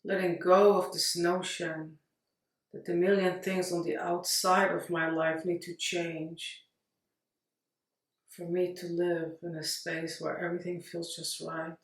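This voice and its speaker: A woman guides a meditation in a gentle, very level voice. She speaks slowly and leaves long pauses between short phrases. The sound is roomy and echoing. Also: on the low side, level tone. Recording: roomy echo, distant mic.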